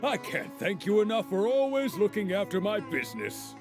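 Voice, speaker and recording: An actor playing a cheerful, thankful market customer in a game cutscene. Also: male voice, high voice, very animated, moderate pace, over music. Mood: jovial.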